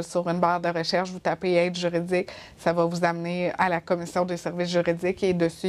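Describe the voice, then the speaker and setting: A woman with a Québécois accent speaks as a lawyer, practical and to the point. Her voice sits low and barely moves in pitch, and she drops small fillers as she explains. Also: darkish tone. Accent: Québécois French. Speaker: legal aid lawyer. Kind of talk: explaining services in an interview.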